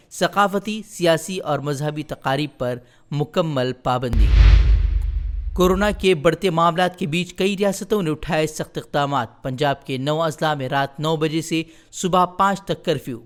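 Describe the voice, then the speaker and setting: A male news presenter with a slightly high voice. He reads a scripted bulletin formally, breaking it into short, headline-like phrases.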